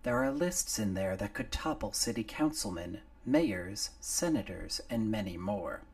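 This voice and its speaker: A man's voice: on the low side, animated, measured pace, recorded from a distance. A man voicing a cool, terse female killer who speaks in a plain, clipped way.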